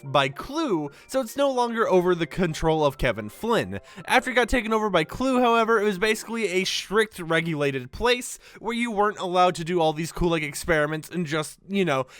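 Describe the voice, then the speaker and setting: A man's voice: high voice, fairly bright tone, no room sound, studio quality. A young man hosting a casual gaming news video, chatty and rambling, leaning on fillers and a sleepy morning tone. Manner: chatty, rambling with many likes and basicallys. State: sleepy, recorded early in the morning.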